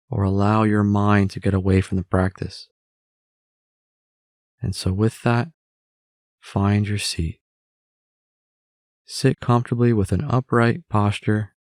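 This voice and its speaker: A man narrating a guided meditation in a measured way, with long pauses between phrases. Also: lowish voice, darkish tone, no echo, studio quality.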